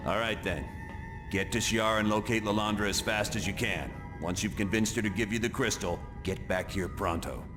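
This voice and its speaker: A man's voice: on the low side, steady tone, big-room sound, music in the background, natural voice. A man playing a stern, no-nonsense commander in a video game, giving an authoritative mission briefing. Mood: businesslike. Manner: brisk, authoritative.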